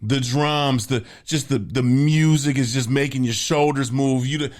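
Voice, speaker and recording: A man's voice: medium pitch, fairly even intonation, unhurried. Hype-driven man co-hosting a music podcast. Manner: hype, playful, repeats words for emphasis. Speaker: podcast co-host. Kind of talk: casual album review chat.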